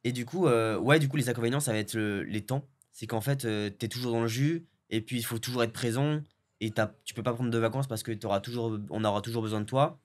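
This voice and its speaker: A young man from French Guiana, a video editor, chatting in a relaxed way with lots of fillers and restarts. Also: medium pitch, a little fast. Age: young adult. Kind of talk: casual interview chat.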